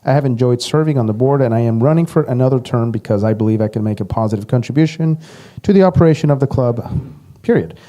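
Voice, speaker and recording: A man's voice: mid-range voice, fast talker, warm tone. A man reading a prepared candidate statement aloud on someone else's behalf.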